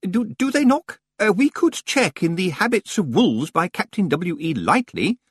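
A man puts on a fussy male senior librarian for a storybook. The voice sits high and wobbles widely in pitch. He is timid and formal, stammering politely as he goes. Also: sing-song, moderate pace, breathy edge, dry recording, studio quality, exaggerated voice. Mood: hesitant, anxious.

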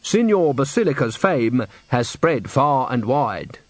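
A man's voice: on the high side, very animated, slow pace, dry recording. A man voicing a prim, formal, thin little opera manager in an audiobook. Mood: prim, proud.

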